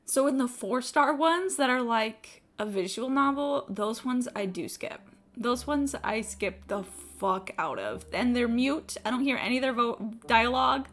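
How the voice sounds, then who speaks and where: A woman's voice: on the high side, expressive, measured pace, fairly bright tone. A woman live-streaming a video game, chatting casually and reacting in a blunt, sweary, informal way. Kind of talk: casual reacting chat while gaming.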